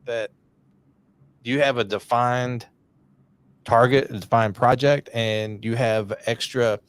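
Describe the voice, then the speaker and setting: A man's voice: mid-range voice, steady tone, slow pace, clean tone, dry recording. A middle-aged man hosting a podcast, talking in an earnest, encouraging, rambling way. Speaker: podcast host. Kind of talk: casual podcast conversation.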